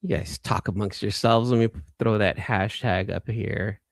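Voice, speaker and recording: A man's voice: lowish voice, steady tone, measured pace, vocal fry, no room sound. A man hosting a casual livestream, chatting informally and enthusiastically with viewers and often repeating phrases for emphasis. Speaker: livestream host.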